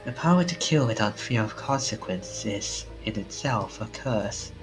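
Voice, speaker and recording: A man voicing a formal, politely stern game character in a stiff, measured manner. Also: lowish voice, lively intonation, lightly acted, poor audio. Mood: measured, grave. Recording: music under the voice.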